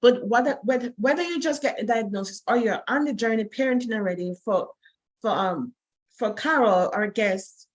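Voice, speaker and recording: A woman's voice: on the high side, moderate pace. A woman hosting a faith-based interview show, talking fervently and repetitively to exhort her viewers. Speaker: host.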